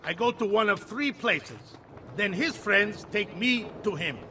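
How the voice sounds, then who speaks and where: A man's voice: sits high, animated, measured pace, bright, forceful tone, a little hiss or hum, exaggerated voice. An actor playing a nervous, sardonic Italian nobleman in debt, with an Italian accent, in a video game cutscene. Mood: anxious, exasperated. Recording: background music.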